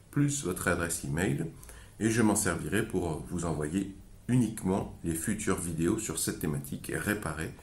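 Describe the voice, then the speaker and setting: A man in his thirties with a very low voice talks straight into a close camera in a small indoor room. He explains things step by step, politely and methodically. The room gives his voice a noticeable echo. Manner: methodical, polite, gestures while talking. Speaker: DIY repair channel host. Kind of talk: direct-to-camera outro talk.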